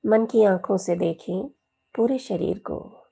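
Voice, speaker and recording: Slow, mellow woman guiding meditation. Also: mid-range voice, creaky, slightly rough. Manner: soothing, gentle, unhurried with long pauses. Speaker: yoga teacher leading guided meditation. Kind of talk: guided meditation instruction.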